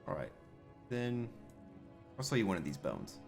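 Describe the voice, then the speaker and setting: A man's voice: low voice, very animated, moderate pace, creaky. A man role-playing his character in a video game, casually musing aloud and chatting as he goes. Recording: game music behind the voice. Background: game music.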